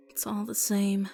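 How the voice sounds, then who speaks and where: A woman's voice: medium pitch, creaky, mellow, slight background noise. A woman playing a weary, wry female doctor in an amateur game dub, muttering to herself in exasperation.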